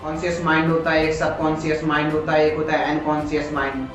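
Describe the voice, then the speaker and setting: A man with an Indian accent teaching in an explanatory, motivational lecture style, slowing down deliberately on English phrases. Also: on the high side, monotone. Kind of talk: motivational lecture on communication skills. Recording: very echoey room, music behind.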